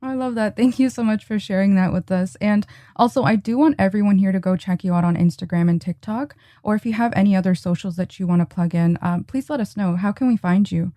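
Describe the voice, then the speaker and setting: A woman hosting a podcast, introducing her guest gently and asking questions. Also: mid-range voice, fairly quick, hardly any pauses, no room sound. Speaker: podcast host. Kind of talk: podcast interview.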